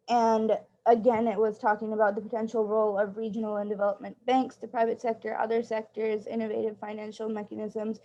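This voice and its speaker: A woman's voice: a little high, a little mellow. A woman reporting back in an online meeting, summarizing a document point by point with frequent ums. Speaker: youth summit participant. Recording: muffled lo-fi audio.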